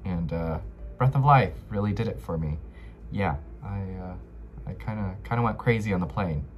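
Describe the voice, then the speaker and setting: A man's voice: low-pitched, moderate pace, soft background music. A young American man chatting casually to camera as a book vlogger, slangy and given to dramatic emphasis. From Los Angeles, United States. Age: young adult. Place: small bedroom, indoors.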